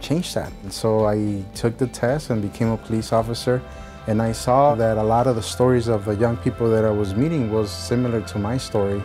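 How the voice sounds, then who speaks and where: A man with an American accent from Chicago, speaking about his life as a political candidate. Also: fairly low pitch. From Chicago, United States. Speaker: city council candidate, police officer. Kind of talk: personal testimony in a campaign video. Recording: clear guitar music behind voice.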